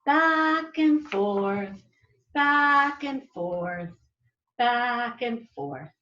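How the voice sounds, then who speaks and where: A woman reading a children's storybook aloud like a teacher, with drawn-out, dramatic emphasis and asides to her young listeners. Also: on the high side, animated, bright, forceful tone, reverberant, highs cut off, faint music behind. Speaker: elementary school teacher reading aloud to pupils.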